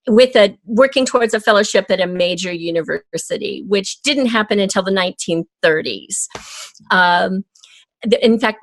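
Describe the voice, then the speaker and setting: A woman being interviewed as an audio drama creator, explaining her ideas in a measured, deliberate way. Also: mid-range voice, no room sound.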